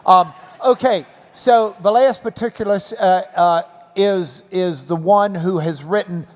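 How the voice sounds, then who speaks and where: Male interviewer, fairly high voice, folksy and deliberate, questioning playfully in a reverberant hall. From Texas. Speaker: interviewer, event host. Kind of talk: conversational public interview. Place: large hall event with sound system. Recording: echoey large hall.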